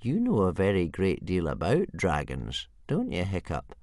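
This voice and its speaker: A man voicing a smug, rambling old male soothsayer with a wheezy, high-pitched voice and grand, slow pronouncements. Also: huge pitch swings, a little mellow, dry recording, clean studio sound, exaggerated voice. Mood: coaxing.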